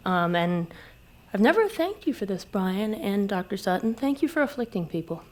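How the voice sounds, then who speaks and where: A woman's voice: medium pitch, big pitch swings, moderate pace, a crowd in the background. A woman giving candid, conversational personal testimony as a caregiver at a public discussion. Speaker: military caregiver, panel speaker.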